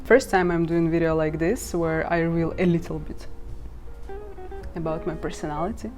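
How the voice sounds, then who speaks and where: A woman's voice: sits low, fairly even intonation, moderate pace. A woman in her twenties, from Ukraine and a native Russian speaker, talking candidly to camera about earning money online, laughing at herself now and then. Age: 20s. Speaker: vlogger. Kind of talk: casual talk to camera. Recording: guitar music playing behind.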